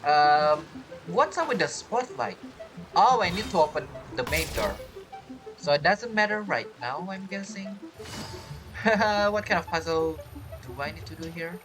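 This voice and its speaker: A woman's voice: on the low side, expressive, a little slow, low-quality recording. A woman giving casual video game playthrough commentary, rambling and thinking aloud with questions to herself. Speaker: gamer, video creator. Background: game music.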